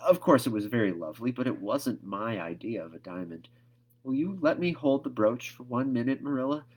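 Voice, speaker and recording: A man reads the part of an earnest, imaginative little girl from a storybook. The voice is lifted in pitch, and the talk is effusive, wordy and dramatic. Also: lively intonation, a little fast, a little mellow, natural voice. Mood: gushing wonder. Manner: effusive, wordy, hesitant when questioned.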